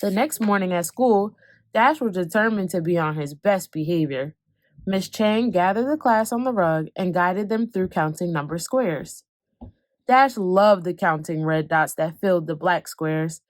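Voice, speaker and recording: A woman reading a children's picture book aloud, expressive, slowing down for calm moments and speeding up for excitement. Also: lowish voice, dry recording. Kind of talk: read-aloud storytelling.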